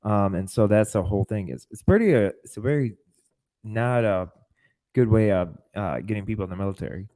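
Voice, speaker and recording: Low-pitched young man telling his story. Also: lively intonation, brisk, dark tone, poor audio. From born in Russia, adopted as a child. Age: 20s. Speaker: podcast guest. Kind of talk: recounting life story in interview.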